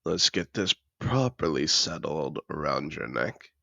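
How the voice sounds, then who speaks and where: A man playing a seductive, possessive dragon in human disguise in an intimate fantasy audio roleplay, teasing and courtly in a slow, gravelly murmur. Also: animated, bright, forceful tone, dry recording, full character voice. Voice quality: very deep, gravelly. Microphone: close, dry microphone.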